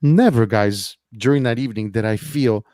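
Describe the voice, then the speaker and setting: A man's voice: medium pitch, moderate pace, mellow, dry recording. Hesitant man, dry close mic. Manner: hesitant, many fillers, indignant. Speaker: podcast guest. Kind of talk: casual chat.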